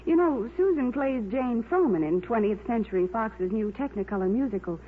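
A tinny, slightly noisy vintage radio recording. A young American woman speaks as a starlet guest in scripted endorsement style, her voice on the high side. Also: animated, moderate pace. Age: young adult.

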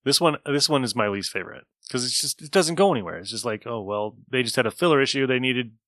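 A man's voice: mid-range voice, quick pace, a bit bright, no echo, studio quality. A man hosting a podcast, chatting casually as he reviews an episode. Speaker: podcast host.

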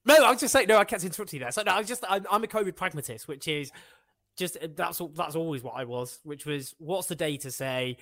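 A man's voice: fairly high pitch, dry recording. Emphatic man hosting a debate. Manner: animated, emphatic, fast-talking. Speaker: host. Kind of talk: political discussion.

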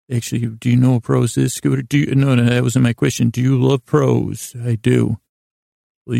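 Male sleep-podcast host: heavy vocal fry, dry close sound, languid rambling delivery full of false starts. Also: medium pitch, mellow, no room sound. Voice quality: gravelly, creaky. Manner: meandering, halting, stammering with false starts. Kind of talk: rambling bedtime monologue to help listeners sleep.

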